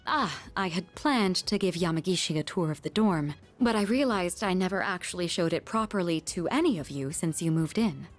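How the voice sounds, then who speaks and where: Woman as commanding senior schoolgirl, lower voice, courteous, poised game acting, faint music. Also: lively intonation, moderate pace, few pauses, natural voice. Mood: composed, courteous. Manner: polite, formal, composed. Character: composed, refined, commanding senior schoolgirl leader.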